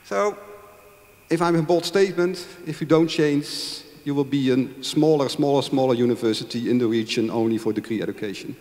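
A man's voice: mid-range voice, a little fast, a little mellow. A man in his fifties speaking emphatically as a panelist, arguing with rhetorical questions into a handheld microphone. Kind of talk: panel discussion argument. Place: large conference room with audience. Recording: echoey hall over handheld microphone.

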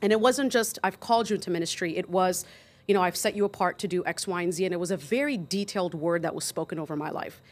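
Low-voiced woman pastor telling her story. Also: fast. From Greece. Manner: warm, chatty, laughs often. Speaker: pastor, podcast guest. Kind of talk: personal testimony in conversation. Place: small studio, indoors. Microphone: boom-arm studio mic.

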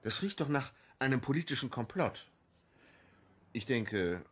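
Crooked cop in a crime radio play, husky voice, swings up and down, sardonic. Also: male voice, mid-range voice, big pitch swings, unhurried. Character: cynical, menacing male police official turned blackmailer. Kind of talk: German radio crime drama acting.

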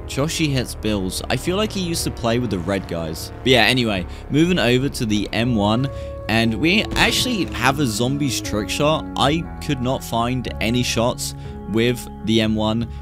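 Young guy in his 20s rambling and hyping gameplay clips, studio mic in a small room, clear music playing under him. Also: medium pitch, normal speed. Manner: relaxed, rambling, hype reactions. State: recovering from losing his voice. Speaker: gaming YouTuber. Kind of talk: casual gameplay commentary. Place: small room, gaming setup. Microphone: studio mic on boom arm, webcam.

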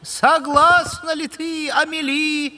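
Very high, sharp cartoon priest. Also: male voice, little pitch movement, soft background music, exaggerated voice. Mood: solemn. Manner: ceremonial, drawn-out. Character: solemn, fussy older male wedding priest. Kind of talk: cartoon voice acting, ceremonial.

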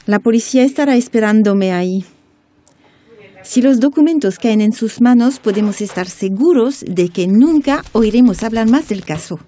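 A woman's voice: a little high, expressive, normal speed, dark tone. A woman playing a worried woman confiding in friends in a radio drama.

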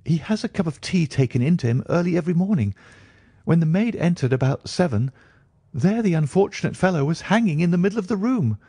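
A man voicing a frantic, high-strung middle-aged doctor in a storybook reading. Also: lively intonation, brisk, mellow, slightly rough, dry recording, clean studio sound. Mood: distraught. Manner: breathless, high-strung, wailing.

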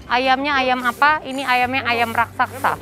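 A woman in her thirties chats playfully while filming a family outing in an outdoor garden. Her voice sits high. Music plays under her. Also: steady tone, moderate pace, pushed and bright. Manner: playful, chatty, coaxing her children. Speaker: vlog host. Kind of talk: casual family vlog. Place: outdoor pet cafe garden.

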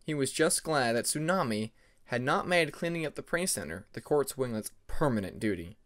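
A man's voice: mid-range voice, expressive, normal speed, fairly bright tone. A man narrating scripted fantasy fiction as an audiobook reader.

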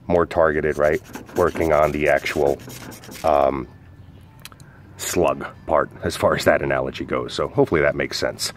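A man, an outdoor skills instructor, casually explaining a fire starter while demonstrating it in his backyard, with lots of "uh" and "go ahead". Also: deep voice. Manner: relaxed, filler-heavy explaining.

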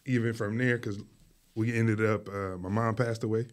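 A man raised in Philadelphia, whose first language was Arabic, talking casually as a podcast guest in a school studio, telling his story in a relaxed way with many fillers. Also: on the low side, normal speed. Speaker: guest, artist and art teacher.

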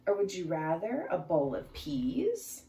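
A woman's voice: mid-range voice, huge pitch swings, unhurried, mellow. A woman voicing a cheerful fruit-loving piranha in a children's storybook, coaxing his friends in an eager, persuasive way. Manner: eager, persuasive, rhyming offers. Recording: roomy, distant mic, faint noise.

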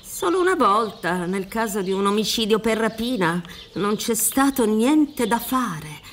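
A woman's voice: fairly high pitch, animated, a little slow, slight background noise. An actress playing a genial, crafty woman defence lawyer in a radio drama, coaxing and emphatic as she cautions a client. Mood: confiding, grave. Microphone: close studio mic on stand. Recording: music playing behind the voice.

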